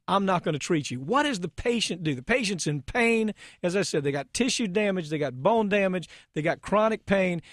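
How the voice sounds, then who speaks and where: A man's voice: sits high, a bit bright, dry recording, clean studio sound. An American man hosting a radio talk show, speaking emphatically and indignantly with rhetorical questions.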